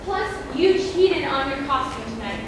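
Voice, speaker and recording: Young woman acting vain, high-voiced stage diva, distant echo. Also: lively intonation, normal speed. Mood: wounded, self-pitying. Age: teens. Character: vain, self-pitying, ageing actress sister, imperious. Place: indoor theatre stage at a live performance. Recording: echoey noisy auditorium, distant microphone.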